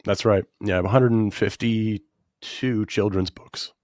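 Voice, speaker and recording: Male comedian guest, lowish voice wearing out from illness, deadpan delivery, close dry sound. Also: measured pace, dry recording. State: losing his voice after bronchitis. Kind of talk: casual interview chat.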